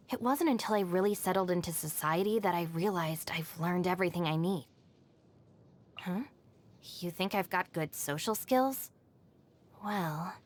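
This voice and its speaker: An actress playing a level-headed, self-reliant young woman in a video game, speaking in a composed, dry, matter-of-fact way. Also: fairly low pitch. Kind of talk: scripted video game character voice lines. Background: background music.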